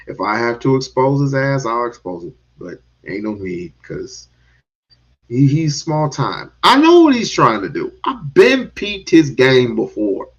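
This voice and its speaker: A man's voice: medium pitch, slow, breathy edge. A male host on a casual talk show whose voice swings widely up and down as he talks. He swears freely and breaks into laughter, and the recording sounds dull and lo-fi. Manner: animated, profane, laughs often.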